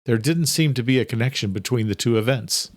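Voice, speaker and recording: A man's voice: medium pitch, fairly quick, no echo. A man reading scripted narration from a thriller audiobook as its narrator.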